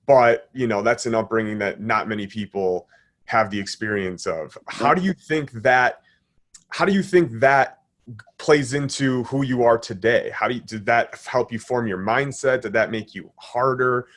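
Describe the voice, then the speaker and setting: A man's voice: medium pitch, normal speed, a bit bright. A man in his thirties hosting a podcast interview over a video call, friendly and upbeat in a casual way. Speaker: host. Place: indoor living room, video call.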